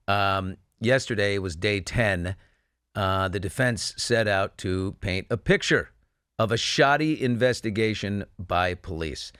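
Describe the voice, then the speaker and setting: A man's voice: lowish voice, fairly bright tone, smooth, no echo. Emphatic male talk show host, dry close sound. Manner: emphatic, rhetorical questioning. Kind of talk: opinionated true-crime news discussion.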